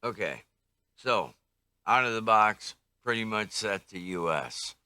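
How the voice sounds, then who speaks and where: Older man, deep pitch, bright forceful tone, casual reviewer, tentative, thinking aloud. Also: expressive, takes it slowly, smooth, dry recording, studio quality. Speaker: radio reviewer. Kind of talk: casual hands-on product review.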